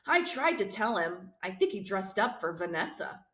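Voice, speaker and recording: A woman's voice: medium pitch, sing-song, normal speed, fairly bright tone. A woman voicing an exasperated teenage boy in a storybook, blunt and incredulous with a friend. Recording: roomy, echoing sound.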